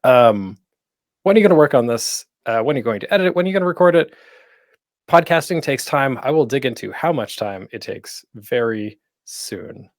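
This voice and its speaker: Male panel host, very animated pitch swings, informal presenter style with self-deprecating asides. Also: normal speed, distant mic.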